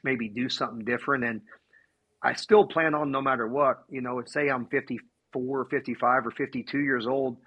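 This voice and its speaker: A man's voice: medium pitch, sharp tone, husky, low-quality recording. A man in his forties, a racing driver and team owner, answering earnestly in a casual podcast interview, often restarting his sentences. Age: 40s. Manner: earnest, halting with many restarts.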